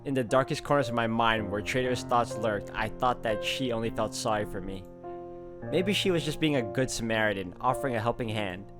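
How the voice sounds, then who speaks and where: A man's voice: mid-range voice, brisk, over music. A man playing a visual novel, reading its text aloud expressively with casual commentary. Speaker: video game let's player.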